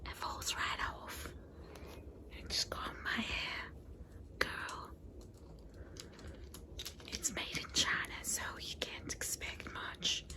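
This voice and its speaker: A woman's voice: slow pace, pauses often, lightly acted. A woman playing a chatty vampire saleswoman in a comedic ASMR roleplay, whispering breathily with rambling, sweetly patronizing endearments. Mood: helpful salesy. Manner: rambling, folksy endearments, confiding. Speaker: consultant selling vampire transformations.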